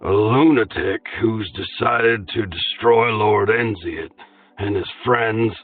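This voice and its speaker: A man voicing a surly, resentful male thug in a storybook reading, speaking slowly and sullenly. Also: lowish voice, distant mic. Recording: tinny phone-like audio, faint music.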